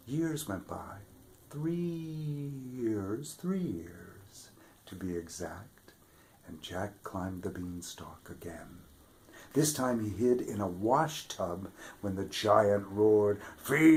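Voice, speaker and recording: A man reading a children's fairy tale aloud with expressive delivery, dropping to whispers for suspense. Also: on the low side, slight background noise. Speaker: storybook reader, theatre regular. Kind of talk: children's story read-aloud.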